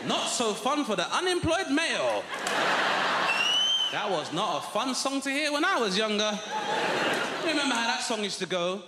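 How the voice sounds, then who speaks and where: A man's voice: high voice, moderate pace, long pauses. Male comic, sardonic live set, noisy theatre room. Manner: sardonic, deadpan asides to the crowd. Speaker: stand-up comedian. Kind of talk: stand-up routine. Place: large theatre stage with audience. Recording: live theatre with long reverb and noise.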